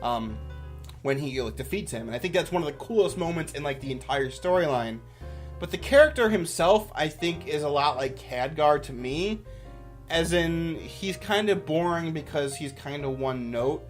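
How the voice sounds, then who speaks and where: A man's voice: on the high side, expressive, normal speed, soft background music. A man giving casual, off-the-cuff commentary as a YouTuber, with an enthusiastic, rambling manner.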